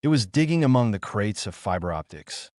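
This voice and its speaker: A man's voice: on the low side, big pitch swings, fairly quick, a little mellow, dry recording, studio quality. A man voicing an anxious android butler in an audiobook, formal, deferential and urgent. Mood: distressed, insistent.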